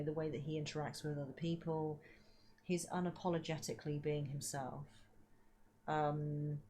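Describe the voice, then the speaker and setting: A woman giving a casual tarot reading, chatty with many fillers, her voice a little hoarse as she loses it. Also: deep voice, dark tone. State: losing her voice. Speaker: tarot reader.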